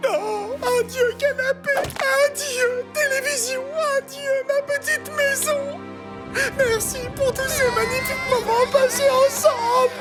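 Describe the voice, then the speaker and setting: A woman voicing an excitable, melodramatic pet animal in a cartoon dub, theatrical and exclamatory. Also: very high voice, slow pace, music in the background. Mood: melodramatic despair. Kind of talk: French cartoon dubbing.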